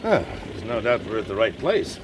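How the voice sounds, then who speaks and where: Deep-voiced English investigator. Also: male voice, very animated, moderate pace, background noise. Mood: dry. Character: dry, urbane fire investigator. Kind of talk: BBC radio drama acting.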